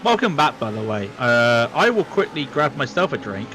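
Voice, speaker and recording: A man's voice: mid-range voice, big pitch swings, moderate pace, sharp tone, slight background noise. A man doing live sim racing commentary, working through standings and calling out the grid order with frequent fillers. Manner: works out numbers aloud with many fillers.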